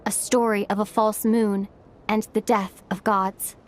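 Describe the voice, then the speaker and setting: A woman playing a storyteller in a video game, narrating in a measured, literary manner. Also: medium pitch, little pitch movement, close to an everyday voice. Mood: solemn, storytelling.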